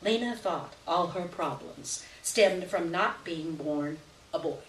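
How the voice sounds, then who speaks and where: A woman reads a short story aloud at a live event, with expressive, dramatic and emphatic narration. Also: fairly low pitch, slow pace. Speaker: story reader. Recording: roomy, distant live recording with noise.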